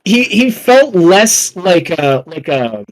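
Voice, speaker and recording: A young man chatting casually about films as a podcast guest, excitable and effusive, swearing freely. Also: high voice, takes it slowly. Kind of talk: casual film discussion.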